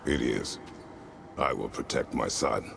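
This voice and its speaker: An actor playing a stern, brooding warrior god and protective father in a video game, speaking tersely and gravely in a gravelly voice. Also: very deep voice, a little slow, noisy background, heavily acted. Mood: stern resolve. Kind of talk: cinematic video game acting.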